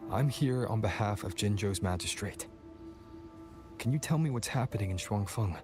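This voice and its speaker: A man playing a calm, reassuring young wandering hero in a video game, speaking in a gentle, composed, restrained way. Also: lowish voice, normal speed, natural voice. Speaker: game protagonist. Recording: music behind the dialogue.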